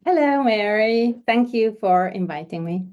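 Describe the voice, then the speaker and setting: Slow, soft-spoken woman from Hungary. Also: fairly high pitch, animated, highs cut off. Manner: hesitant, many repeated words and fillers. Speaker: homeopath guest. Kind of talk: conversational interview.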